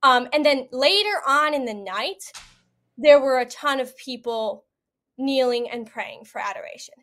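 High-pitched young American woman, chatty. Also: unhurried, a bit bright. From United States. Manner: chatty, conversational. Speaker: podcast guest. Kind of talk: casual first-hand account.

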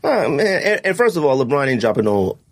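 A man hosting a casual podcast, animated, opinionated and full of slang. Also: medium pitch, a little fast, a little hiss or hum. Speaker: podcast host. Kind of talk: casual chat.

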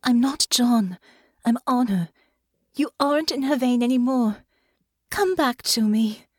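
A woman narrator voicing a gentle, caring young woman in an audiobook, soft and soothing but earnest. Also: high voice, big pitch swings, unhurried, no room sound, studio quality, voice pushed up. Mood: gently urgent. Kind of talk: audiobook character acting.

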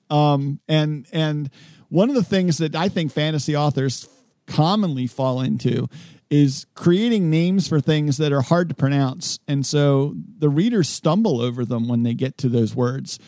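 Male host, casual interview chat, mellow timbre, dry studio-like sound, a little self-deprecating. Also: fairly high pitch, measured pace, dry recording. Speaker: podcast host.

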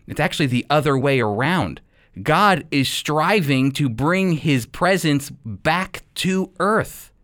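A man hosting a radio program as a Bible teacher, speaking with deliberate, emphatic phrasing. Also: a little high, lively intonation, fairly bright tone.

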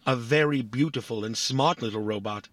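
An actor playing a small, vain, philosophical robot in a family audio drama, boastful and politely fussy. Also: male voice, mid-range voice, moderate pace, no room sound, close to an everyday voice. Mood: vain, musing.

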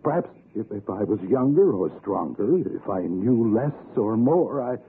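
An actor playing a frightened, desperate middle-aged husband, stammering in an old radio suspense drama. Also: male voice, lowish voice, sing-song, normal speed, phone-quality sound. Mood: panicked disgust. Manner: stammering, breaking off anxiously. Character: frightened, pleading, aging husband trapped and desperate. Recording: thin, noisy 1960s radio recording.

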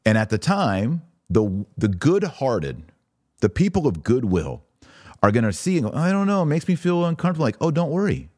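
A man's voice: medium pitch, huge pitch swings, normal speed, darkish tone, no echo, studio quality. A man hosting a podcast of religious commentary, speaking emphatically with rhetorical questions and acted-out imagined objections. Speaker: podcast host. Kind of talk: religious commentary to a live online audience.

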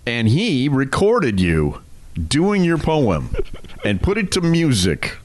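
A man's voice: mid-range voice, huge pitch swings, slow, no echo. A man in his fifties or older, co-hosting a podcast with casual comic banter. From spent formative years in Europe. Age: 50s or older. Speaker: podcast co-host.

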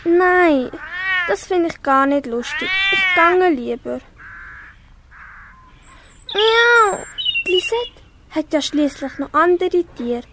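Very high slow little kitten, played by a girl. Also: lively intonation, exaggerated voice. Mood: wistful, annoyed. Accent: Swiss German. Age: child or young teen. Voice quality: childlike, meowing. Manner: slow, earnest story-acting with meows. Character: small lonely curious kitten wanting to belong. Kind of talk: amateur school radio play. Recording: faint background noise.

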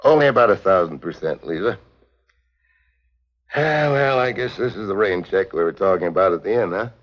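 An actor playing a tough, wisecracking American agent with a wry, slangy manner in an early 1950s TV spy drama. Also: male voice, deep voice, expressive, normal speed. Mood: easygoing. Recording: muffled vintage 1950s TV soundtrack.

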